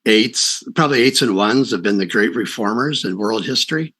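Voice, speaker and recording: Low, bright-voiced man hosting an interview. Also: lively intonation, normal speed, slightly rough. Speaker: podcast host, interviewer. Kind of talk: casual conversational interview.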